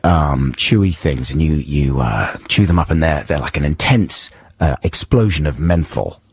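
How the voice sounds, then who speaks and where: A hoarse man with a cold hosting a radio show in casual chat, keeping his voice low because it cracks when he goes higher. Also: animated, normal speed, dry recording, studio quality. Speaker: radio host. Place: radio studio.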